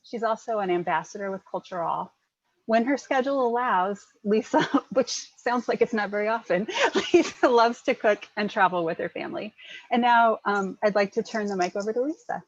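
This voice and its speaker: An upbeat woman moderates a webinar panel and introduces each guest with light laughs. Her voice sits at a middle pitch for a woman. The sound is very dry, with dull lo-fi call audio. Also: normal speed, dry recording. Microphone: video call.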